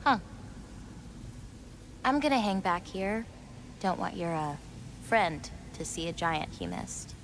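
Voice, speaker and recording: An actress playing a hesitant, caring young giant woman in a video game, speaking in a halting, casual way. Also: on the low side, lively intonation, a little hiss or hum. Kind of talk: naturalistic video game acting.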